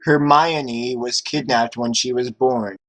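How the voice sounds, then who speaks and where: A man's voice: medium pitch, steady tone, slow, fairly bright tone, rough recording. A man doing a comedic, dramatic read-aloud of fan fiction among friends.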